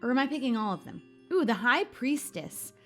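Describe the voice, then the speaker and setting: A woman with a high, very lively voice hosts a game playthrough. She reads story text and reacts aloud in a girlish, expressive way, with music underneath. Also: a little fast. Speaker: gaming video host. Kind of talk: casual playthrough chat and read-aloud visual novel narration.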